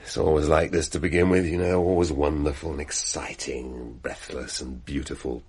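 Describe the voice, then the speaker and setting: Very deep, husky, sneering British husband. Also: male voice, steady tone, normal speed, a little mellow, exaggerated voice. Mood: bitter, cynical. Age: middle-aged. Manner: mocking, insinuating, drawling rhetorical questions. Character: cynical, sneering middle-aged husband and businessman. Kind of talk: radio play acting.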